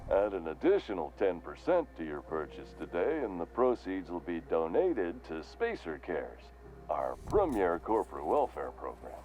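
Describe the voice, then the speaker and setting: Deep-voiced, swooping corporate mascot vendor. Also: male voice, big pitch swings, moderate pace, a little mellow, faint music behind. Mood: forced salesman cheer. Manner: forced salesman cheer with slogans, slipping into resignation. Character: cheerful corporate vendor in a mascot helmet, weary underneath. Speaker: shop vendor. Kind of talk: video game voice acting, salesman patter.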